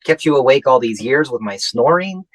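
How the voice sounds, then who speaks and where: A man's voice: medium pitch, expressive, moderate pace, no echo, natural voice, low-quality recording. A man playing a grumpy complaining husband. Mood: grumbling. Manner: exasperated, complaining, hollering. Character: grumpy sleepy husband in hospital. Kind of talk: comic radio play acting.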